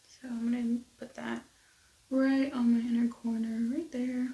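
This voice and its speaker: A woman losing her voice, chatting casually and rambling through a makeup tutorial with soft near-whispered asides. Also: on the high side, little pitch movement, measured pace, roomy sound, poor audio. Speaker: beauty vlogger. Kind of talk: casual get-ready-with-me makeup chat. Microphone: close mic at desk.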